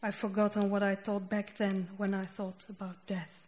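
A woman whose first language is Dutch, speaking English with a Dutch accent as she reads her poetry slowly and deliberately on a festival stage. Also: medium pitch, level tone, a little mellow. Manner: slow, deliberate reading with halting English asides. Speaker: poet. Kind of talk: poetry reading. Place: festival stage before an audience.

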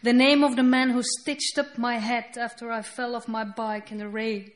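A woman's voice: a little high, level tone. Dutch-accented woman reading poetry. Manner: slow, deliberate reading with halting English asides. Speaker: poet. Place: festival stage before an audience.

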